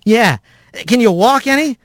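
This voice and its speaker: A man's voice: very high voice, lively intonation, measured pace, fairly bright tone, dry recording, studio quality, voice pushed up, full character voice. A man voicing a small, scrappy rat who talks in casual slang and blunt, clipped questions. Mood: brisk, casual.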